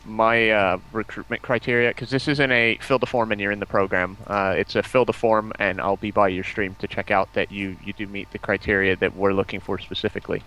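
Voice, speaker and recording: An American man with a lowish pitch talks in a casual, conversational way. He sounds like a guest explaining things on a live stream. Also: little pitch movement, brisk, hardly any pauses, a bit bright, rough recording. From United States. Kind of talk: casual chat.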